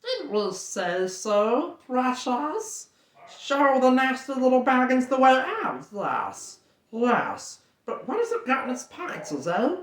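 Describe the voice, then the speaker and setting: A reader voicing a wretched, greedy cave creature who hisses and whispers, jumping from shrill squeals to low mutters. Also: sing-song, slow pace, full character voice. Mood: sly scheming. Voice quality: hissing, whispery, lisping plurals. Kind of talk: audiobook character voice. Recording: roomy echo.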